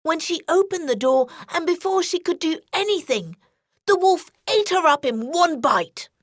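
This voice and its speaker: Woman storyteller, high voice, expressive bedtime-story narration, unhurried, dry close sound. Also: no room sound. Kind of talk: scripted children's story narration.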